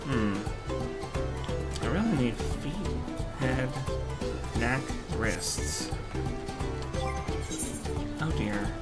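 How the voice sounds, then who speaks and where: Relaxed man musing over a game. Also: mid-range voice, very slow, pauses often. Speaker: let's-play streamer. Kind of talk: casual gameplay commentary. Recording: game music behind.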